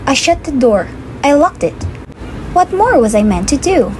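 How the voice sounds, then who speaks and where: A plucky, anxious little girl heroine played by a woman in a puppet show. The voice is high and swings up and down animatedly, over a noisy background. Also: big pitch swings, moderate pace, darkish tone. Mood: upset, protesting.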